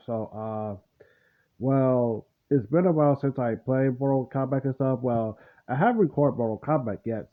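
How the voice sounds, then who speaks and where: A man gives casual reaction commentary in a mid-range voice, rambling loosely and circling back over his words. The sound is very narrow and muffled, as if over a phone. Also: mid-range voice. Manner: loose, rambling, repeats himself. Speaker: host of a reaction channel.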